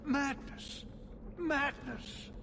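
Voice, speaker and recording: An actor playing an anxious, exasperated middle-aged city ruler in a video game, speaking formally and theatrically. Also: male voice, very high voice, fairly even intonation, drawn-out words, full character voice. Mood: alarmed, incredulous. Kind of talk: dramatic video game acting. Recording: loud ambient noise behind.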